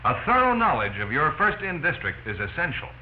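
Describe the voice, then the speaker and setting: An earnest American fire officer instructs his crews in a 1940s training film. His pitch moves around in a lively way. The soundtrack is dull, with faint noise. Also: fairly quick. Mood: earnest, instructive. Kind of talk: scripted 1940s training film lecture to camera. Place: small indoor office set.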